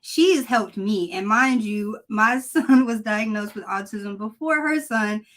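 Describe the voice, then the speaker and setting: A woman co-hosting a casual live-stream chat, supportive and quick to laugh. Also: medium pitch, lively intonation, a bit bright. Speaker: magazine co-host.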